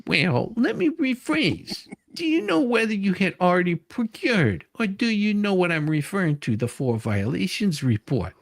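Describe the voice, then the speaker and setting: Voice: mid-range voice, sing-song, normal speed, creaky, hardly any pauses, no room sound. A performer reading the part of a pushy, testy male lawyer who argues insistently with a witness. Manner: insistent, argumentative. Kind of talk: dramatic reading of a deposition transcript.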